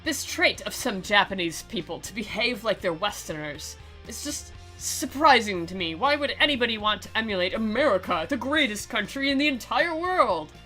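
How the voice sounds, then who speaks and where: A woman's voice: medium pitch, lively intonation, normal speed, few pauses, bright, forceful tone. A woman doing a playful, theatrical read-aloud of a visual novel, mixed with sarcastic commentary. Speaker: game commentator and dramatic reader. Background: music.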